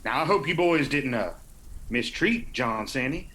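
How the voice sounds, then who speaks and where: An actor playing a smug, threatening mountain feudist in a radio drama. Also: male voice, medium pitch, animated, unhurried, sharp tone, a little hiss or hum. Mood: mocking.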